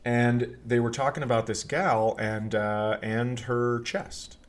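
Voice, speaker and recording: A middle-aged man chatting as a podcast host, spinning casual comic anecdotes. His pitch sits in an average male range, and he has a joking, teasing manner. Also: steady tone, slow.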